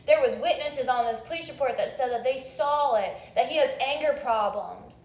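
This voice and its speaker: Insistent, high-voiced woman in an echoey room. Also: normal speed, darkish tone. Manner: insistent, confrontational, repeating demands. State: upset, exasperated. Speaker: caller. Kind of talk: phone complaint call. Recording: roomy, echoing phone call recording.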